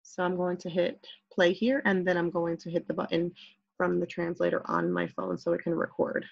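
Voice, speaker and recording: Woman teacher, husky and a bit low, casual step-by-step tutorial, dull dry screen-recording sound. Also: flat delivery, normal speed, no room sound. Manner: chatty, step-by-step, many okays.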